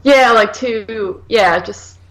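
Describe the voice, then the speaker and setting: A woman's voice: a little high, takes it slowly, crowd noise, rough recording. A woman co-hosting a horror podcast, chatting casually and slangily about films, saying "like" constantly. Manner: slangy, heavy use of "like". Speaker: horror film podcast co-host. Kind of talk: casual film review chat.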